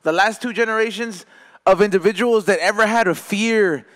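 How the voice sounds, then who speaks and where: High bright-voiced man, laughing casual chat. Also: normal speed. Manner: profane, laughing, slips into Spanish for quoted parents. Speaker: podcast co-host. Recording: hall reverb.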